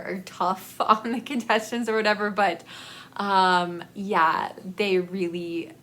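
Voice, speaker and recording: An American woman chats informally as a book vlogger. Her voice is bright and forward, and she takes her time, rambling along at a slow pace. She is confiding and pokes gentle fun at herself. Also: mid-range voice. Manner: rambling, self-deprecating, confiding. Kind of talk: casual chatty book vlog.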